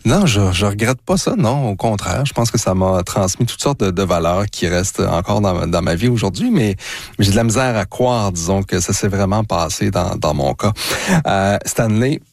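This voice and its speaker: A man with a Québécois French accent hosting a radio talk show, calm and curious, guiding the conversation. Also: lowish voice, creaky, dry recording. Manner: calm, curious, reads quotes deliberately. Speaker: radio host. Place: radio studio. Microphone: studio microphone.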